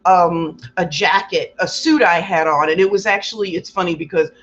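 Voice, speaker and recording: Loud, chatty woman with a carrying voice. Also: moderate pace, lo-fi sound. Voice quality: loud voice that carries. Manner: chatty, rambling, acts out quotes with high exaggerated pitch jumps. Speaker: self-help speaker, Reiki practitioner. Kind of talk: casual motivational talk to viewers.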